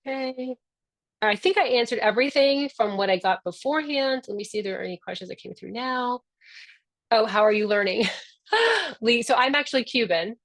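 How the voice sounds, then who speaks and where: A woman's voice: fairly high pitch, expressive, fairly quick, fairly bright tone, dry recording. A middle-aged woman whose first language is Spanish, the founder hosting a live online orientation in an upbeat, affectionate, chatty way.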